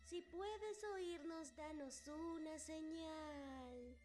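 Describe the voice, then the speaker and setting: Woman playing a high-pitched theatrical occult cat girl. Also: a little mellow, faint music behind. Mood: solemn, dramatic. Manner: drawn-out, dramatic incantations. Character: theatrical occult-loving cat girl, older sister, solemn and dramatic. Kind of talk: fan dub cartoon acting.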